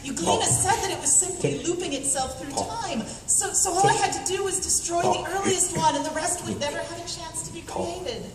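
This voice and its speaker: A woman in a comic radio play acting a brisk, clever, bossy young inventor heroine, quick-witted and decisive. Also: very high voice. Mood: explaining. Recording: echoey hall, distant mic, crowd noise.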